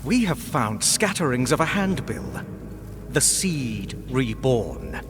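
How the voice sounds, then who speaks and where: An actor playing a stern, officious rabbit minister in a fantasy game, making formal, declamatory proclamations. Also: male voice, on the high side. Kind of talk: dramatic fantasy game acting. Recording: long hall reverb, music underneath. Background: music.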